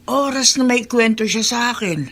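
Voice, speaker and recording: A woman playing an older female confidante in a radio drama, speaking in a hesitant, drawn-out way. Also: fairly high pitch, very noisy, natural voice. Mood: concerned, evasive. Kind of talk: Tagalog radio drama acting.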